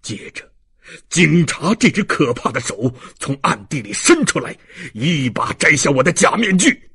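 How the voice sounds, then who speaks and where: Man playing old ex-convict, anguished, dignified, slow, wide swings in pitch, audiobook drama. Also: very animated, fairly bright tone, slightly rough, no echo, clean studio sound, exaggerated voice. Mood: agitated. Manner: emotional, halting, dramatic.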